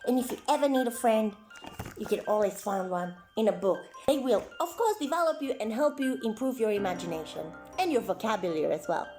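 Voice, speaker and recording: A high-voiced woman tells a children's story in a roomy, echoing space with faint music behind her. She reads expressively, like a children's entertainer, and slips easily into chatty asides to her young audience. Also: a little mellow. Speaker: children's storyteller presenting as a clown.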